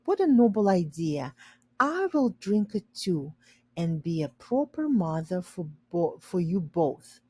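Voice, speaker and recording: A woman's voice: lowish voice, very animated, a little slow, darkish tone, husky, dry recording, exaggerated voice. Woman as a breezy, proud storybook mother. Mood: eager.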